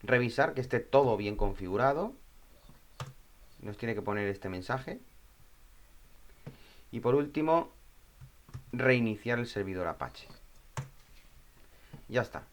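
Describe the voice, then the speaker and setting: A man presenting an informal step-by-step software tutorial, thinking aloud and often checking in with short questions. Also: mid-range voice, animated, slow, long pauses, slight background noise.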